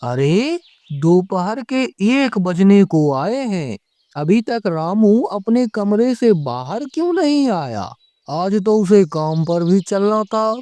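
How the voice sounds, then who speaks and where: A man's voice: high-pitched, big pitch swings, slow, warm tone, no echo, full character voice. A man playing a worried village politician in a horror cartoon, fretting and questioning as he goes. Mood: worried, puzzled. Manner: fretful, muttering questions to himself. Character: worried male village politician searching for missing guest. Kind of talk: Hindi horror cartoon voice acting.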